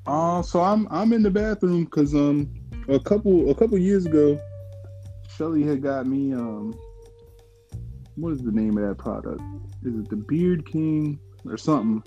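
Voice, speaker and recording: Mellow-voiced man rambling in casual chat. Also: fairly high pitch, normal speed, muffled recording, faint music behind. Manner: rambling, many fillers and restarts.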